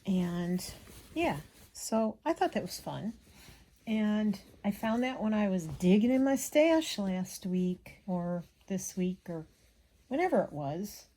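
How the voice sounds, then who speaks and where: Woman craft vlogger: soft-edged, unhurried delivery, slightly gravelly, chatty and self-interrupting, casual stitching-along ramble. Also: medium pitch, big pitch swings. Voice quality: slightly gravelly from a frog in the throat. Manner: chatty, absent-minded, self-interrupting, mutters to self. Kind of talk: casual rambling chat while hand stitching.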